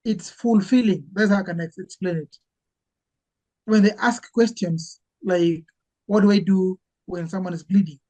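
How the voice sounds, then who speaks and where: Man, earnest medic guest, halting delivery. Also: high voice, fairly even intonation, mellow, rough recording. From Kenya. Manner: earnest, halting, repeats words as he searches. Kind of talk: casual interview chat.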